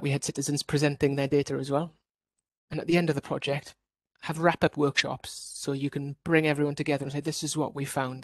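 Welsh-raised man with a gravelly voice. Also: on the high side, no echo. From Wales. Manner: explanatory, steady, frequent restarts and fillers. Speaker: researcher presenting at a workshop. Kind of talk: online conference presentation.

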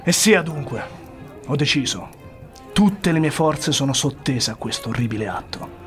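An actor playing a tormented, ambitious noble warrior in an Italian radio drama, solemn and theatrical. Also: male voice, medium pitch, animated, normal speed, music in the background, close to an everyday voice. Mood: grimly resolved.

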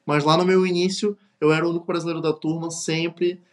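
A young man with a Brazilian Portuguese accent and a few European Portuguese words, his voice sitting a little high. He speaks in relaxed interview chat and often doubles up words as he restarts a thought. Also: a little fast. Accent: Brazilian Portuguese with some European Portuguese words. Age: young adult. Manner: repeats words, hesitant restarts. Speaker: podcast guest. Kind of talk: casual interview conversation.

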